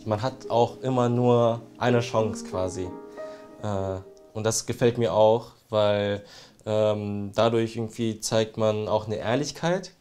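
A man's voice: fairly low pitch, slow, mellow, soft background music. A man in his thirties from Germany, a ceramic artist, speaking in a TV interview, hesitant with many fillers and restarts. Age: 30s.

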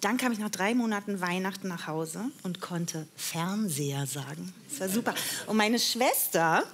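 A woman's voice: medium pitch, lively intonation, normal speed. A woman in her forties from the Ruhr area, a talk show guest with a Ruhr accent, telling self-mocking stories with laughter. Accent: Ruhr area German. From Witten, Ruhr area, Germany.

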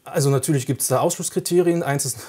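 A man's voice: fairly high pitch, fast talker, mellow, breathy edge. A man whose first language is Russian, answering interview questions as a police recruitment officer, explaining step by step with frequent hesitations.

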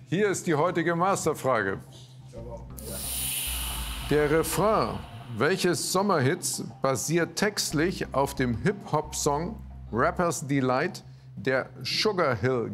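A man's voice: on the high side, lively intonation, slow, fairly bright tone. A man hosting a TV quiz show, announcing results and reading out questions in an upbeat manner. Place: TV studio with audience. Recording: studio with music and crowd.

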